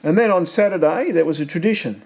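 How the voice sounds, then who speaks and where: Australian man, middle-aged, family storyteller, slightly higher voice, rambling with fillers. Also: quick pace, a little mellow. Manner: rambling, asks leading questions, many fillers. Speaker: family member recording memories. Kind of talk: casual reminiscing conversation.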